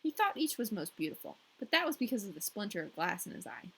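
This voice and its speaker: A woman's voice: medium pitch, sing-song, fast, vocal fry, a bit bright, husky. A woman hosting a storytelling show, reading a fairy tale expressively with wry, casual asides.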